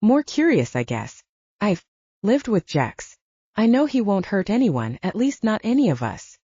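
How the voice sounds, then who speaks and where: A laid-back young werewolf fighter talking in a teasing, relaxed drawl, read by a woman. his voice swings up and down a lot and the tone is dark and mellow. the recording sounds dull and dry, like a close home setup. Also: mid-range voice, measured pace, slightly rough, dry recording, pitched above the performer's own voice, heavily acted. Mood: easygoing.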